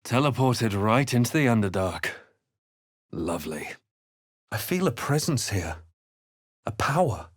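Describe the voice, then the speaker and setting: A man voicing a composed, observant, brooding adventurer in a fantasy video game, with measured, theatrical delivery. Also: mid-range voice, sing-song, no echo, studio quality. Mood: wry. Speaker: video game player-character voice. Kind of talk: dramatic first-person narration and character barks.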